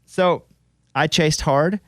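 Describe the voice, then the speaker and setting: A man's voice: fairly high pitch, fairly bright tone, no echo, studio quality. A man hosting a football podcast, chatting and joking with his co-hosts about their bets. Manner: fast, joking banter about betting. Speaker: podcast host. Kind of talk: casual sports podcast chat.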